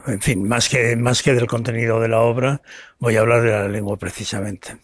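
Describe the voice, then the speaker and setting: A man's voice: mid-range voice, little pitch movement, moderate pace. A man with an accent from Spain giving unscripted interview answers, often hesitating and rephrasing. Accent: Spanish from Spain. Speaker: writer and historian being interviewed.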